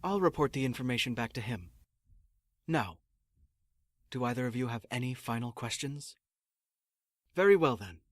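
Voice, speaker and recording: A man's voice: mid-range voice, lively intonation, moderate pace, long pauses, no echo, close to an everyday voice. A man playing a firm, pragmatic space mission handler with dry authority in an audio drama. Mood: brisk briefing.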